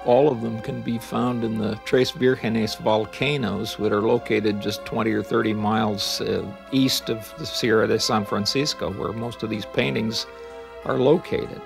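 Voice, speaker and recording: Gravelly middle-aged historian explaining. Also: male voice, lowish voice, fairly even intonation, moderate pace. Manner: thoughtful, explanatory, some fillers. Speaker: historian interviewed. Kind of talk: explanatory interview. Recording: voice under background music.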